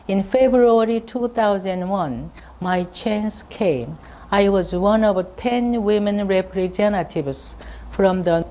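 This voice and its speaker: A woman giving a scripted formal conference speech in a measured manner. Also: fairly low pitch, dark tone. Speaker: conference speaker reading a prepared presentation.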